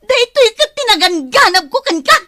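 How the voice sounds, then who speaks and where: A woman with an Ilocano Filipino accent playing a bitter, quick-tempered young pregnant woman in a radio serial, with emotional, accusing delivery. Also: extremely high pitch, sing-song, sharp tone, dry recording, clean studio sound, full character voice. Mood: furious. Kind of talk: radio serial drama acting.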